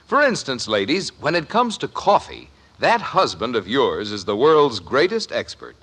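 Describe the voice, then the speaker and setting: A man's voice: medium pitch, very animated, moderate pace, a bit bright, slightly rough. A man reading a 1950s radio commercial as announcer, in an emphatic, salesmanlike way.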